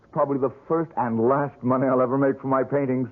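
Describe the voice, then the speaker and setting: An actor playing a struggling young painter in a 1970s radio drama, talking eagerly with quick bursts of enthusiasm and a self-mocking streak. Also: male voice, mid-range voice, flat delivery, lightly acted. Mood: cheerful. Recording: narrow lo-fi radio broadcast.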